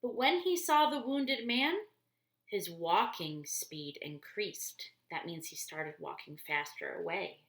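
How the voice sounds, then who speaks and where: A woman reading a children's story aloud expressively, deliberately, explaining things in simple words. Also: on the low side, lively intonation, vocal fry, a bit bright. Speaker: storybook reader for church children. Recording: roomy, echoey indoor sound.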